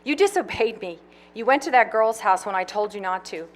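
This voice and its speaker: A woman's voice: medium pitch, lively intonation, fairly quick, pitched above the performer's own voice, lightly acted. A woman voicing a stern, bossy older sister who scolds in clipped lines. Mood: scolding.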